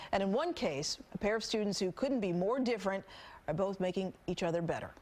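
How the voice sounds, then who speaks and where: A woman with an American accent reading the news as a TV anchor. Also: medium pitch. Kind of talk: scripted news reading with light co-anchor banter.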